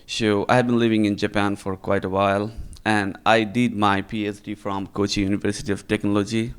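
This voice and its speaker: A man from Nepal giving a conference lecture in English, speaking slowly and deliberately with frequent fillers and tag questions. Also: on the low side, little pitch movement, clean tone. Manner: slow and deliberate, frequent "uh" and "right?". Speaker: researcher giving a talk. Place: conference hall.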